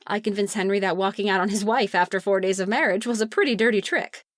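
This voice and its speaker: An actress playing an unsuspecting, dryly spoken wife in an old-time radio thriller. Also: female voice, mid-range voice, quick pace, no echo, close to an everyday voice. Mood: cool, dry.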